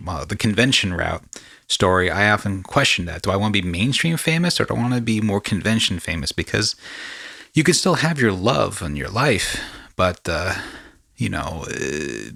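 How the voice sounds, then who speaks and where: A man hosting a casual podcast conversation, speaking reflectively and often pausing to search for his words. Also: on the low side, creaky, fairly bright tone, no room sound, clean studio sound. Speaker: podcast host.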